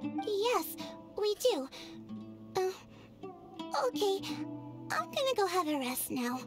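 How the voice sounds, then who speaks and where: A woman voicing a shy, sickly young girl in a game, speaking in a soft, hesitant and polite way. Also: very high voice, sing-song, takes it slowly, exaggerated voice. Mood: gentle. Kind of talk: game voice acting. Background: game music.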